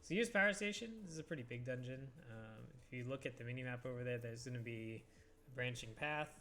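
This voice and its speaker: A man's voice: medium pitch, quick pace, sharp tone. Congested young man, scratchy throat. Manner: rambling, hesitant with many fillers. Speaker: let's play host. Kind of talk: casual gameplay commentary. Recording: game music behind voice.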